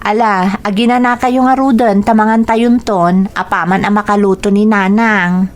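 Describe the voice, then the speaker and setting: A woman's voice: mid-range voice, fairly even intonation, moderate pace, close to an everyday voice. An actress with an Ilocano accent playing a fussy, exclamatory middle-aged village mother in a radio drama.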